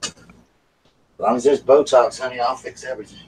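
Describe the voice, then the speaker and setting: Man, Southern US accent, raspy, casual live-stream host, distant mic, faint background noise. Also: medium pitch, steady tone, brisk, darkish tone. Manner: chatty, breaks off to call his dogs.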